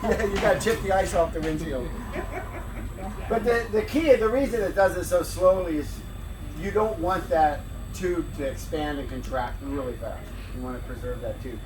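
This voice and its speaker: Man explaining machinery in an echoey room. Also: a little high. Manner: halting, restarts mid-phrase while explaining. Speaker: deacon giving a station tour. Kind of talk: casual technical explanation. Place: indoor transmitter room with machinery. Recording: echoey room, distant mic, equipment noise.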